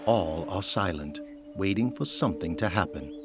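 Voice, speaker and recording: A man's voice: low voice, animated, moderate pace. A man narrating an audio drama in a scripted, dramatic style. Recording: loud music behind the voice.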